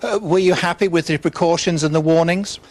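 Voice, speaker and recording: A British man presents studio news as an anchor. His voice sits a little higher than most men's. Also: steady tone, fairly quick, a little mellow.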